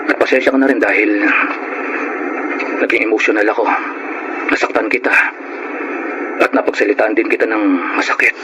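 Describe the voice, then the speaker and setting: Actor as a low, gentle Filipino husband. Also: male voice, steady tone, normal speed, long pauses, heavy background noise, natural voice. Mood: forgiving. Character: kind, apologetic married office man, gently forgiving. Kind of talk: Filipino radio drama acting. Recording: loud music bed behind the voice.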